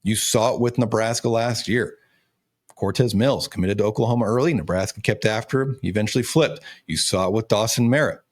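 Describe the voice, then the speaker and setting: A man's voice: on the low side, brisk. Guy in his 30s breaking down college football recruiting, voice cracking and half lost, leans hard on his points. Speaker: sports show host and recruiting analyst.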